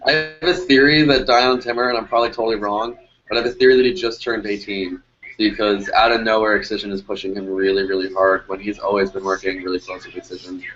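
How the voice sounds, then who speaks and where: A man's voice: fairly low pitch, little pitch movement, fast, fairly bright tone, soft background music. Easygoing man in his twenties, muffled. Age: 20s. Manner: easygoing, rambling, theorizing. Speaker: music producer. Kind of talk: casual podcast chat. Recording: dull lo-fi stream audio.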